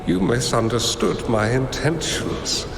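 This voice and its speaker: A man voicing a wise hermit master in a video game, gentle, formal and measured. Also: mid-range voice, expressive, gravelly. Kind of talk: acted video game dialogue. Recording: reverberant space with music behind.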